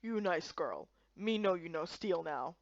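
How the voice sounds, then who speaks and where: A woman voicing a gruff but kindly male giant who talks in halting, broken, simple-minded phrases. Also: fairly low pitch, animated, no echo, muffled recording, voice pushed down. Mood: softening. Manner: broken, halting caveman-like speech.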